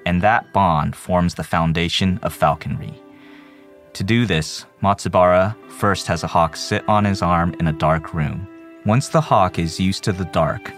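A man reading scripted documentary narration as a storyteller, in a measured manner. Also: low-pitched. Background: soft background music.

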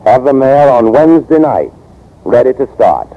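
A man playing a brash, confident American army captain in an old-time radio drama, with a brisk, commanding, cocky delivery. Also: mid-range voice, very animated, close to an everyday voice. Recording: dull, hissy old radio recording.

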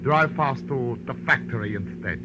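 An actor playing a suave, scheming villain, clipped and formally polite, in 1940s radio style. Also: male voice, mid-range voice, expressive, moderate pace, close to an everyday voice. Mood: menacing. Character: suave, scheming Chinese villain in gray gabardine. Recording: noisy, muffled old radio broadcast.

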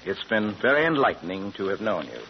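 Educated professor for vintage radio drama: lower register, lively inflection, courteous and evasive, slightly noisy old recording. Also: male voice, brisk, muffled recording. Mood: polite, cheerful. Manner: courteous, hesitant, chuckling, precise diction. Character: genial, evasive, educated male professor among crooks. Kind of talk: 1930s-40s radio drama acting.

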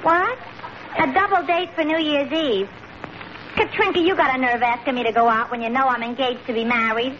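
Woman playing a high-voiced, brassy New single gal. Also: brisk, lightly acted. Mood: indignant, nosy. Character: man-hungry, indignant single working woman, brassy. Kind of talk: 1940s radio comedy acting. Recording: noisy, muffled old radio broadcast, audience.

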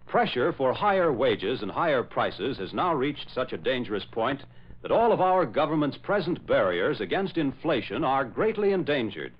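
A man announcing and narrating in formal, emphatic, dramatic 1940s radio style. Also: medium pitch. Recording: muffled old radio broadcast.

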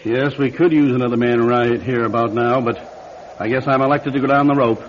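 A man's voice: medium pitch, steady tone, normal speed, a little hiss or hum, close to an everyday voice. An actor playing a practical, take-charge man with a wry, matter-of-fact manner in an old radio mystery. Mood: resolute. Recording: dull old radio recording.

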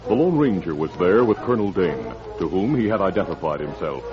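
A man with a fairly low voice narrates in dramatic old-time radio style. His intonation rises and falls widely. The broadcast sounds muffled, with music clearly behind him. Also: animated, brisk. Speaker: narrator and announcer.

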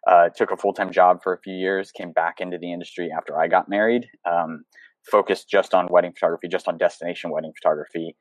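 A man's voice: low-pitched, fairly even intonation, quick pace, dry recording. A young man, a photographer and coach, talking casually as an interview guest over a video call, rambling with many fillers and restarts. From United States.

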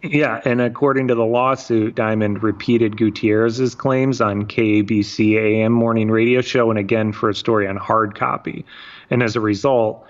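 Low-voiced man narrating, lo-fi sound. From Michigan, USA. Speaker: podcast host. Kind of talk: scripted narration mixed with casual chat.

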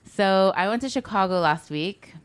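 A young woman from California with a Californian accent, telling stories in a casual, animated podcast chat full of "like". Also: mid-range voice, moderate pace, clear, resonant tone. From Southern California. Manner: animated storyteller, lots of "like", laughing. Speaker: podcast co-host.

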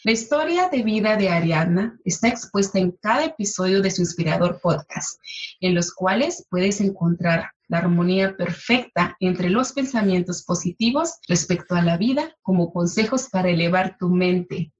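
A woman's voice: lowish voice, moderate pace. Effusive woman podcast host, lo-fi. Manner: warm, effusive, emphatic praise. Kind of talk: podcast interview, scripted intro.

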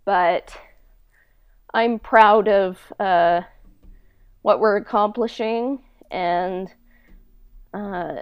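Clear-voiced woman, slow and thoughtful. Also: mid-range voice, no echo, highs cut off. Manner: hesitant, modest, thoughtful. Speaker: podcast co-host being interviewed about own project. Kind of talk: casual, reflective interview chat.

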